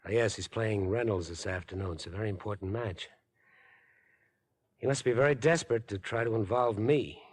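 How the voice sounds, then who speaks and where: Husky, insinuating villain. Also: male voice, lowish voice, fairly quick. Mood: feigned concern. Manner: polite, hesitant, sly. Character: smooth, insinuating young male villain feigning concern. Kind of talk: 1950s radio acting. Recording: vintage 1950s radio broadcast.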